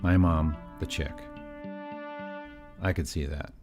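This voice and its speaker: A man's voice: extremely low pitch, steady tone, slow, over music. A middle-aged man from California narrating his own family story in scripted documentary style, with wry, self-deprecating asides.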